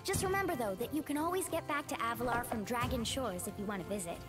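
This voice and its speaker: A woman's voice: on the high side, quick pace, lightly acted. An actress voicing a friendly, earnest young faun woman in a video game. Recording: game audio with music behind.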